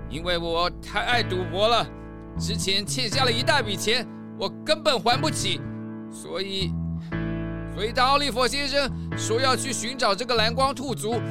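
An actor playing a greedy gambling ship captain and thief, whiny and defensive, in a children's audio drama. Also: male voice, extremely high pitch, moderate pace, music in the background. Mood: guilty, defensive.

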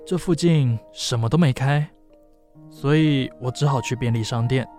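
A man acts out a caring young suitor in a radio drama. His voice is mellow and dark, gently insistent and attentive, with music playing underneath. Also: medium pitch, normal speed, lightly acted. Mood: gentle concern. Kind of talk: audio drama acting.

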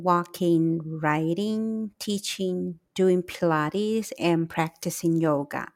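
A middle-aged woman with a Taiwanese accent hosting a solo reflective podcast, speaking in a deliberate, emphatic way. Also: fairly low pitch. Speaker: podcast host, English teacher.

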